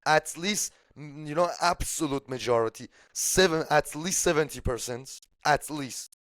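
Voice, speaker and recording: A man's voice: a little high, a little slow, a bit bright, no room sound. A man from Iran with an Iranian accent and Persian as his first language, talking casually about politics in a blunt, emphatic way with casual swearing. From Shiraz, Iran. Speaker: political podcast speaker.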